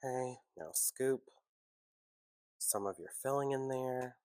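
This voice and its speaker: A man hosting a casual home baking tutorial, chatty and relaxed, with a congested, sniffly, nasal voice from a cold. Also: mid-range voice, slow, no room sound, studio quality. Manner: chatty, relaxed, self-deprecating asides.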